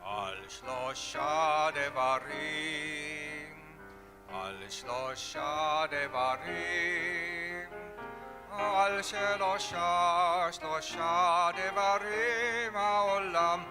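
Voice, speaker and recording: A cantor chanting prayers slowly in a synagogue service. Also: mid-range voice, music in the background. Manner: slow, drawn-out sung prayer. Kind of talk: liturgical chanting. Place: synagogue sanctuary.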